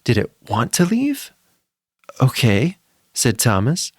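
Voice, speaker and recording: A man's voice: fairly low pitch, big pitch swings, measured pace, no room sound, clean studio sound. A man narrating a spooky children's story from a script in a dramatic, suspenseful way.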